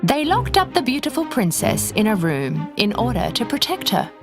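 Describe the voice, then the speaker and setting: A woman tells a bedtime fairy tale with a highly animated, fairly high voice. Her scripted narration is expressive, deliberate and dramatic. Music plays clearly behind her. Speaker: children's story narrator.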